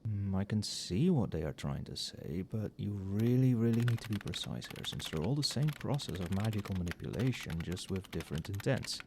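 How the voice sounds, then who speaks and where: A man playing an overworked magic professor in a casual, soft-spoken ASMR roleplay, muttering with dry sarcasm. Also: on the low side, expressive, fairly quick, few pauses, slight background noise. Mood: muttering, critical. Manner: casual, muttering, dryly sardonic. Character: wry, overworked male magic professor grading papers late at night.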